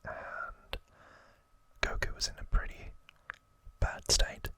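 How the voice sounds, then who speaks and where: An ASMR host softly whispering a trivia talk in a drawn-out way, with pauses between short phrases. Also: no room sound.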